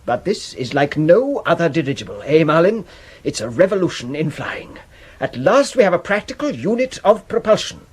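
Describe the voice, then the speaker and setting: Pompous English gentleman, husky, animated. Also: a little high, moderate pace. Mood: excited, proud. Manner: formal, declamatory. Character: pompous, insistent English gentleman running a secret project. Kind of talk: 1970s radio drama acting.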